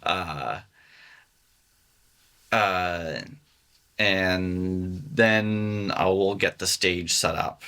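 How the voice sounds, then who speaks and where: A man, a music engineer guest, talking casually in an interview, pausing often with fillers. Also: sits low, pushed and bright, clean tone. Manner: hesitant, many fillers.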